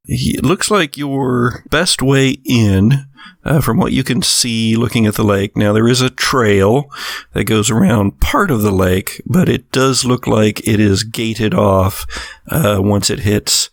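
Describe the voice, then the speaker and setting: A man with a low voice and noticeable vocal fry works as game master for a tabletop horror game. He sets scenes descriptively and conversationally, recorded close and dry. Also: slow, no echo.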